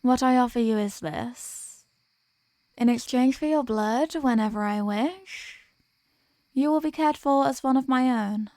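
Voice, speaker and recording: Slow, possessive vampire mistress voiced by a woman. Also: a little high, a little mellow, no echo, studio quality, lightly acted. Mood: persuasive, smug. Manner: slow, teasing, condescending, formal phrasing. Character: possessive, dominant female vampire toying with captive prey. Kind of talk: ASMR roleplay, scripted intimate monologue.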